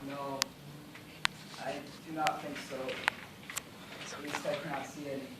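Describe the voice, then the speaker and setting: A very reverberant, noisy stage recording carries a man acting as a weak gentleman castaway from some distance. He is parched and despairing, yet keeps a formal, courteous diction with a melodramatic edge. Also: a little high, little pitch movement, a little slow, natural voice. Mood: weary. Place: school theatre stage, recorded from afar.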